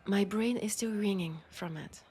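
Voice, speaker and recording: A woman with a French accent voicing a centuries-old, wry and world-weary female vampire in an intimate audio roleplay, softly and breathily. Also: medium pitch, normal speed, faint music behind, natural voice. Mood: wry, scolding. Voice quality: breathy, soft, slipping into whispers.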